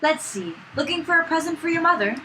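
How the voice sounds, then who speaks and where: A woman's voice: high-pitched, lively intonation, fast, bright, forceful tone, pitched above the performer's own voice. A woman voicing a kindly, refined female flower shop owner, reading for a visual novel let's play. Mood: polite, refined. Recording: noisy room recording, no proper mic.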